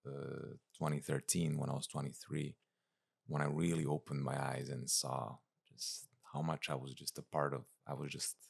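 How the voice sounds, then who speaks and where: Male interview guest, thirties, from Serbia: rumbling bass register, thick gravel, casual delivery. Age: 30s. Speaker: interview guest, breathwork and spiritual coach. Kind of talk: casual conversational storytelling.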